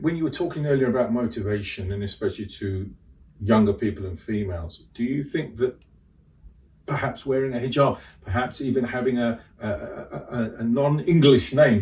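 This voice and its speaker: A man hosting a radio interview, asking long, rambling, probing questions. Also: mid-range voice. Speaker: radio host. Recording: distant mic.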